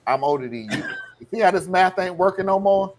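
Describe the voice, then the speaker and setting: A man's voice: high-pitched, expressive, slight background noise. A man in his forties trading jokes in a casual chat, drawing out his punchlines. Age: 40s. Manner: joking, drawn-out punchlines. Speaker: guest. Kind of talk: casual banter.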